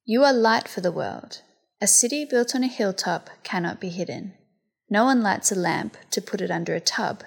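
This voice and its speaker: A woman reading a scripture passage aloud in a steady, deliberate liturgical style. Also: mid-range voice, creaky, husky. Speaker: scripture reader.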